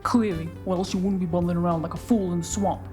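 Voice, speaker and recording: A woman's voice: fairly low pitch, steady tone, fast, lightly acted. An actress playing a tough, suspicious woman holding a shotgun, interrogating with menace, with music behind her. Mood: menacing. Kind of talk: audio drama acting.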